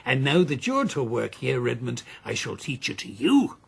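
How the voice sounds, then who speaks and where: An actor in a radio drama playing a roguish, genial middle-aged gentleman gambler with a suave, avuncular manner. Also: medium pitch, sing-song, moderate pace, vocal fry, slightly rough, exaggerated voice. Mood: smooth, confiding.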